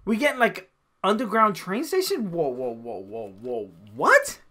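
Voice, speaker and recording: A man's voice: high voice, huge pitch swings, measured pace, pushed and bright. A man in his thirties reacting live and chatting over gameplay, slangy and exclamatory with plenty of swearing. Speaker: gaming streamer. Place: small room at home.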